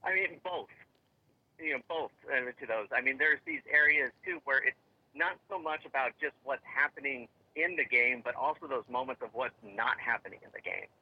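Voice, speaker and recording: A man's voice: medium pitch, moderate pace, husky, dry recording, dull audio. A man answering interview questions about his company's technology, rambling with many fillers and restarts. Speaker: tech company guest.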